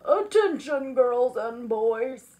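A woman's voice: a little high, sing-song, slow pace, darkish tone, pitched below the performer's own voice. A woman voicing a fretful, weepy male school principal from a children's storybook, with theatrical, declamatory delivery. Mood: howling. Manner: theatrical, sobbing, declamatory announcements.

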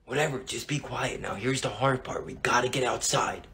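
Man playing a husky, bossy teenage brother. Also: fairly high pitch, huge pitch swings, a little fast, fairly bright tone, heavily acted. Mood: hushed, bossy. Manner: curt, commanding. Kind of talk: family comedy skit acting.

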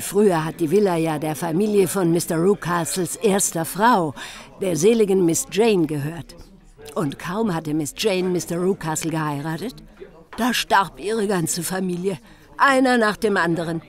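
An actress playing a gossipy, plain-spoken village innkeeper in a radio drama, chatty and confiding in colloquial speech. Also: female voice, fairly low pitch, natural voice.

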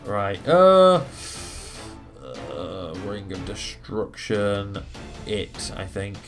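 Sweary male gamer over game music. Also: on the low side. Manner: sweary, talks through his moves aloud. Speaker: gaming video host. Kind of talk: casual let's play commentary. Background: video game music.

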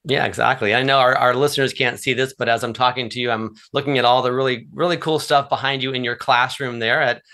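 Upbeat bright-voiced male podcast host. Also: medium pitch, fairly even intonation, fast, few pauses. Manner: upbeat, chatty with fillers. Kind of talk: podcast interview, scripted intro.

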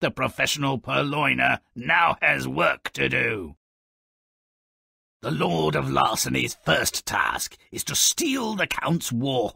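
A man voicing a gruff, mischievous gnomish storybook narrator for a video game, with a raspy, gravelly voice and a sardonic, pompous manner. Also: medium pitch, pushed and bright, no echo, clean studio sound, exaggerated voice. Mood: gleeful, mocking. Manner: sardonic, pompous, alliterative, relishing each word.

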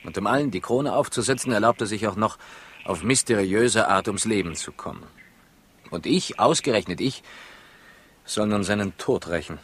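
An actor playing an erudite, ironic investigator in a 1960s radio crime play, with a dry, deliberate delivery. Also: male voice, lowish voice, animated, fairly bright tone. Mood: exasperated, ironic. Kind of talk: 1960s German-language radio crime drama acting. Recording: faintly noisy old radio recording.

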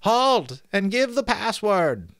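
Storybook outlaw sentry: reedy, trembling high voice, simple, chatty and eager to please, very close dry sound. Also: male voice, very animated, slow, fairly bright tone, no echo, full character voice. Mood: obliging, pedantic. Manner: soothing, simple-minded, chatty. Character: dim, obliging outlaw sentry with a thin trembling voice.

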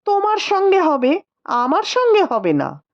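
A woman voicing a shy, gentle young wife with a coy, hesitant manner in a storybook reading. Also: very high voice, wildly swooping pitch, unhurried, dull audio, pitched above the performer's own voice, full character voice.

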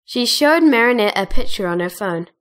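A woman's voice: a little high, animated, normal speed, fairly bright tone. A woman narrator reading a scripted children's adventure story in storybook style.

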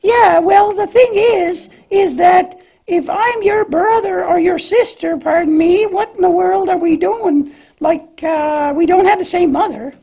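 A woman's voice: very high-pitched, unhurried, warm tone. A woman voicing a cheerful, naive young lamb in a children's church puppet show, hesitant and questioning in a childlike way. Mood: chatty, naive.

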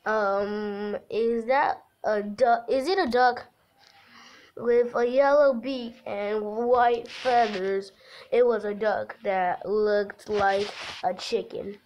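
A woman's voice: medium pitch, steady tone, slow, a little mellow. A giggly woman hosting a casual game livestream, chatting and reading aloud from a visual novel. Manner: giggly, breaks off into laughter. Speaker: livestream host.

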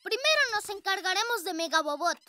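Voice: extremely high pitch, lively intonation, fairly quick, noisy background. An actor playing a brave, eager little boy rabbit superhero in a Latin American Spanish children's cartoon dub, confident and exclaiming. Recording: loud music behind the voice.

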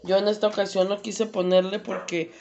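A woman's voice: mid-range voice, level tone, a little mellow. A woman with a Mexican accent, chatting casually through a cooking demonstration in her home kitchen. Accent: Mexican Spanish. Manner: slow, chatty, many fillers. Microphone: camera across the stove.

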